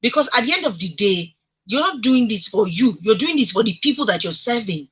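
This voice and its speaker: A woman's voice: a little high, animated, fairly quick, a bit bright, husky. A woman with a Nigerian accent hosting a radio discussion, speaking emphatically and putting rhetorical questions to listeners. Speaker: radio talk show host.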